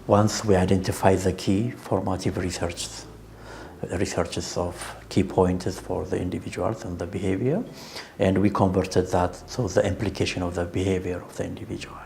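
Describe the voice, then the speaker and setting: A man's voice: low voice, flat delivery, normal speed, gravelly, mellow, a little hiss or hum. A man explaining a program in a documentary interview. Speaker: project team member explaining a radio drama program. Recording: music bed under interview. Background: music.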